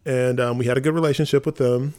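Fast-talking man in earnest podcast chat. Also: medium pitch, fairly even intonation, a little mellow. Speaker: podcast host and theater company member. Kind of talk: casual podcast discussion.

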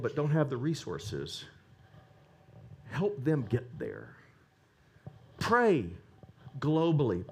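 A man preaching a sermon, animated and rising to emphatic peaks. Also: medium pitch, breathy edge.

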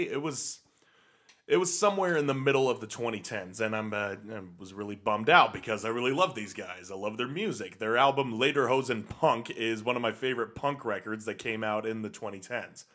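A man's voice: mid-range voice, a bit bright. Podcast co-host, man, casual chat, hesitant and rambling delivery. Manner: rambling, hesitant, lots of fillers and restarts. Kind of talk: casual chat about punk music. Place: Salt Lake City, USA.

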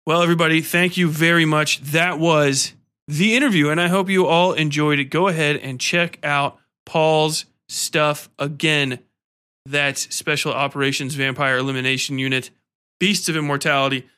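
A man's voice: fairly high pitch, fairly even intonation, moderate pace, pushed and bright, clean tone. A man hosting a podcast in a casual, informal, slangy way. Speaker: podcast host interviewing an author.

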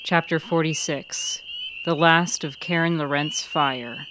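A woman's voice: deep voice, steady tone, slow, rough recording. A woman reading scripted fiction as the author and narrator of a serial story.

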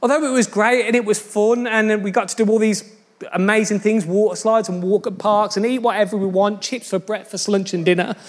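A pastor preaches in a relaxed, jokey storytelling voice. His voice sits high for a man, and he talks straight to his congregation. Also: brisk. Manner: chatty, jokey storytelling, addressing the church directly. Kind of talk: sermon.

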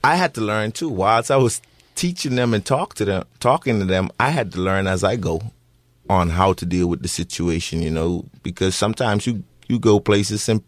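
A man in his thirties with a Bahamian accent, answering interview questions earnestly with many pauses and restarts. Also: on the low side, dry recording. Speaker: interviewee, parent.

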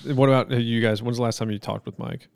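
A man's voice: medium pitch, steady tone, fast, vocal fry, smooth, no room sound. Joking podcast host guy, 30s, mid voice, dry room. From Cincinnati area, USA. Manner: joking, teasing, easygoing. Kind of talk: casual chat.

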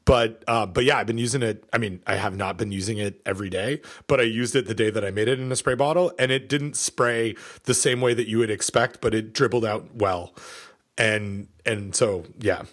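A middle-aged man co-hosting a podcast, chatting casually with many fillers, pauses and restarts. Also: lowish voice, a bit bright. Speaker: podcast co-host.

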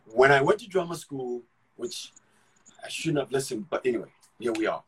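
A middle-aged man from Ghana speaks in a husky, slightly breathy voice at an everyday pitch. He is an actor reflecting on his life in a casual interview. He thinks out loud, doubling back and repeating words before he lands a point. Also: lively intonation, low-quality recording. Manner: halting, restarts and repeats words while thinking aloud. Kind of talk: casual conversational storytelling.

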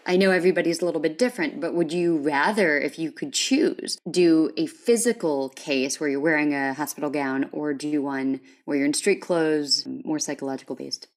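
A man's voice: a little high, normal speed, hardly any pauses. A man hosting a podcast, interviewing in a conversational way with wordy questions that he rephrases as he goes. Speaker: podcast host.

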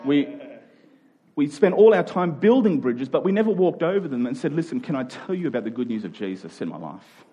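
A man's voice: on the high side, very animated, a little fast, warm tone, breathy edge, highs cut off. A man preaching a sermon, repeating key phrases for emphasis and checking in with his listeners.

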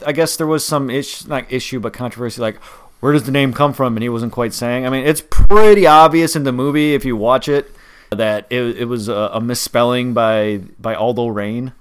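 A man's voice: mid-range voice, clear, resonant tone. A man with a slight Wisconsin accent, co-hosting a podcast in casual chat with many restarts. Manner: conversational, halting with restarts. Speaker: podcast co-host.

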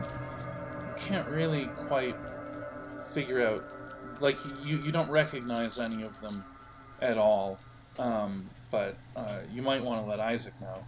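A man narrating an improvised tabletop role-playing game as game master, often halting as he thinks aloud. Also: mid-range voice. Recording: music playing under the voice.

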